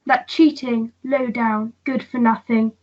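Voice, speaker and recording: A woman's voice: a little high, steady tone, a little slow, a little mellow. A woman playing a possessive, argumentative jilted sweetheart in an amateur melodrama radio play. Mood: indignant. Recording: slightly dull lo-fi recording.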